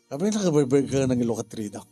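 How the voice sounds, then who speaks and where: A man with an Ilocano accent chatting casually in a halting, jokey, rambling way. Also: medium pitch, very animated, warm tone, breathy edge, soft background music. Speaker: radio drama actor and host. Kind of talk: casual chat with bursts of singing.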